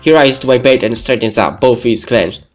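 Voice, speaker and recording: A male let's player reads game narration aloud at an ordinary mid pitch. Soft game music runs underneath his voice. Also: moderate pace. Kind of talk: reading visual novel narration aloud.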